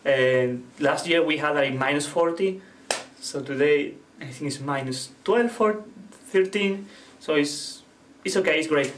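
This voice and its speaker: A man from Spain with a Spanish accent gives a casual video lesson while fighting off an illness. His voice sits a little high for a man. He explains in halting English and keeps stopping to correct himself. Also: a bit bright, a little hiss or hum. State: sick, with a cough. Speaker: Spanish teacher.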